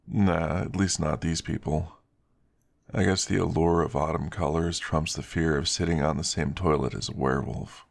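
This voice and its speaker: A man reads the part of an earnest male werewolf in an ASMR story. The voice is very low and gravelly, explaining things in a level, measured tone. It sounds dry and close. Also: fairly even intonation. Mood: joking. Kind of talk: ASMR story character dialogue.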